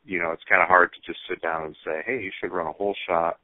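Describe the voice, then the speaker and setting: A man talking casually as a racer being interviewed over the phone, pausing often as he thinks through his answers. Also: sits low, steady tone, dry recording. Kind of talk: casual phone interview about hobby racing. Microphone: telephone. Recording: tinny, band-limited phone line.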